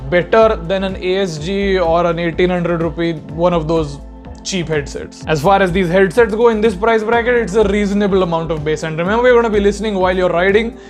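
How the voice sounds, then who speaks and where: Young guy w a pretty high voice doing a chill review, explaining stuff, faint music behind. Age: young adult. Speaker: product reviewer, vlogger. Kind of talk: casual spoken review to camera.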